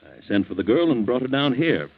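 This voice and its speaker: An actor playing a generous, resigned middle-aged family man in a 1940s radio drama, unhurried and paternal, on a muffled old recording. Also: medium pitch, expressive, close to an everyday voice. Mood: calm, resigned.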